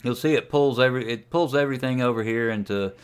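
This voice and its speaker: A man giving a casual, folksy tutorial as a ham radio hobbyist, talking through a software demo in an unhurried way. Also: medium pitch, clear, resonant tone. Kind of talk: casual screen-share tutorial.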